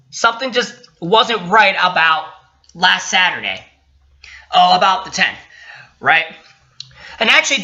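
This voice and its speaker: A man's voice: high voice, bright, forceful tone. A man giving a casual, rant-like commentary as a vlogger, swearing often and sounding indignant and sarcastic. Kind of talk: casual rant-like commentary while reading a report. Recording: dull lo-fi sound.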